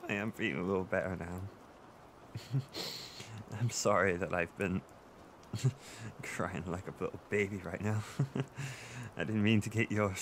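A man playing an insecure, tearful boyfriend who seeks comfort in an intimate audio roleplay, speaking in a halting, stammering way. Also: medium pitch, gravelly, a little hiss or hum. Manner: halting, stammering, with nervous soft laughs. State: emotional, tearful.

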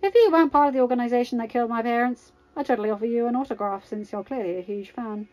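A woman's voice: fairly high pitch, very fast, highs cut off, natural voice. A woman voicing a sarcastic, blunt and hot-headed male superhero with emphatic delivery. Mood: confrontational. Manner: sardonic, emphatic.

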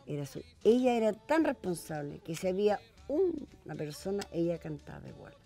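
A woman's voice: on the low side, sing-song, moderate pace, creaky, mellow, faint music behind. A woman in her seventies from southern Chile with a Chilean accent, chatting casually and plainly as an interview guest. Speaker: folk singer, interview guest. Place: small television studio.

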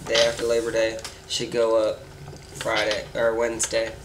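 A man's voice: on the low side, completely flat delivery, moderate pace. A young man with a cold, casually rambling through gameplay commentary with an offhand manner. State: congested from a cold. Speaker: gameplay video maker. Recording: noisy home mic with keyboard sounds.

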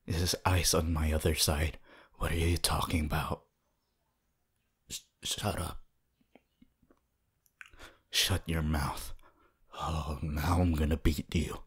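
A man voicing a cold, cocky, brooding teenage boy hero in an ASMR anime roleplay, in a husky, breathy murmur. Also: little pitch movement, full character voice. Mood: annoyed, defensive. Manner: slow, low murmur, often dropping to a whisper.

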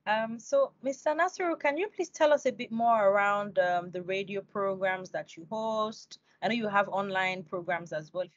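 A woman's voice: mid-range voice, steady tone, moderate pace, few pauses, no room sound, muffled recording. A young woman hosting an interview podcast over a video call. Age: young adult. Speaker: podcast host. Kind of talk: scripted introduction and interview questions.

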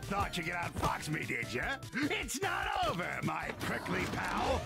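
An actor playing a pompous, blustering middle-aged mad scientist villain in a video game, theatrical and grumbling. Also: male voice, sits high, huge pitch swings, measured pace, gravelly, exaggerated voice. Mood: gloating. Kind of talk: video game cartoon voice acting. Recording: loud music, echoey, distant.

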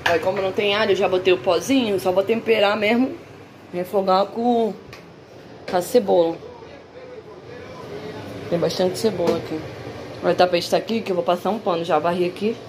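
A woman's voice: mid-range voice, normal speed, long pauses. A young woman with a Brazilian accent, chatting casually to viewers as she narrates a home cooking vlog. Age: young adult. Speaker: home vlogger. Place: small tiled home kitchen, indoors. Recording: noisy hissy home-kitchen recording.

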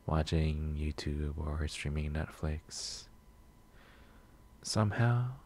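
Man playing a shy, low husky coffee shop regular. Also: exaggerated voice. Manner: gentle, hesitant, rambling. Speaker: roleplay audio performer. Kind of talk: soft-spoken roleplay ASMR.

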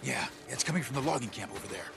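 Militia captain, man: medium pitch, disciplined, guarded, businesslike game delivery over clear background noise. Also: lively intonation, racing. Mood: guarded, matter-of-fact. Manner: firm, businesslike, authoritative. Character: stern, disciplined male militia officer, guarded and dutiful. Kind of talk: naturalistic video game acting.

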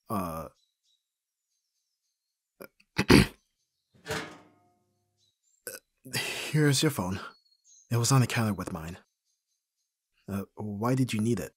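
A man acting an awkward, flustered young best friend in an ASMR roleplay, with a breathy, husky voice and hesitant, stammering delivery. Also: mid-range voice, no room sound, studio quality, full character voice. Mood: hesitant, puzzled. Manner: hesitant, stammering, often dropping to whispers.

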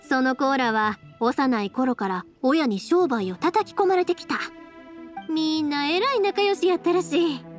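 A cheerful young anime game heroine with a high voice and a Kansai accent. She talks in a breezy, folksy, warm-hearted way, with soft music playing behind her. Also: a little slow. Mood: storytelling.

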